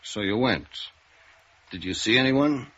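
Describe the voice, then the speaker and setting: A businesslike American police sergeant in a vintage radio drama. His medium-pitched voice is somewhat husky and he questions plainly and persistently. The recording sounds dull. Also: lively intonation, measured pace, fairly bright tone. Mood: questioning, firm.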